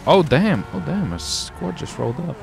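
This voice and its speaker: A man with a gravelly voice chatting casually and slangily while playing a roleplay game. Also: fairly high pitch, very animated, moderate pace, over music. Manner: slangy, says dude and baby often. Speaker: gaming video host, roleplay player. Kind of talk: casual gameplay roleplay chat. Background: car game sounds.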